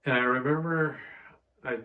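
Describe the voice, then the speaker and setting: A man's voice: medium pitch, fairly even intonation. A man from Bulgaria with a Bulgarian accent, earnestly telling stories in a casual conversation as a podcast host. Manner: earnest, storytelling, halting restarts. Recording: dull lo-fi sound.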